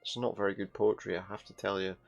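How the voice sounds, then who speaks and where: A man's voice: on the low side, level tone, fast, gravelly, soft background music. A British man commenting on a game, reading the on-screen text aloud with sarcastic, sweary asides.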